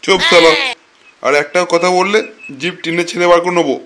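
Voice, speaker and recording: A man's voice: high voice, brisk, fairly bright tone, slight background noise. A man voicing a blunt, streetwise big-brother convict in a comic cartoon. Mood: angry. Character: gruff, streetwise older-brother convict, teasing yet sentimental.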